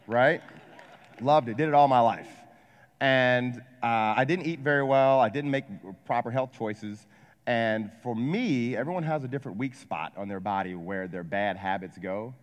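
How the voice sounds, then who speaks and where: A man in his forties from Ohio, giving an energetic motivational seminar talk and asking a live audience questions. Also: on the low side. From Ohio, USA. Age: 40s. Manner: energetic, asks the crowd questions, jokes. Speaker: wealth advisor and coach giving a seminar talk. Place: large hall with a live audience. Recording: long hall reverb.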